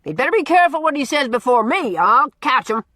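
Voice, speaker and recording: A woman voicing a blustering, gruff old man who booms out orders and repeats himself as he teases. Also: sing-song, fairly quick, pushed and bright, no echo, clean studio sound, exaggerated voice. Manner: booming, repeats himself, teasing.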